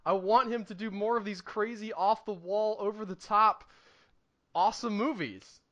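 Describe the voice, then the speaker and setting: Guy with a high sharp voice on a movie podcast, emphatic, lots of opinions, chatting casually. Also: fairly even intonation, moderate pace, dull audio. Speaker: podcast co-host reviewing films. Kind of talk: casual film discussion.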